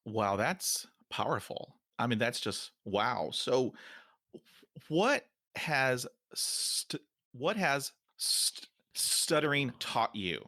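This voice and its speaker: Slow-talking podcast host guy, stutters sometimes, bright voice, dry sound. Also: mid-range voice, expressive, no echo. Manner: stutters now and then, slow and deliberate. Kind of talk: podcast interview.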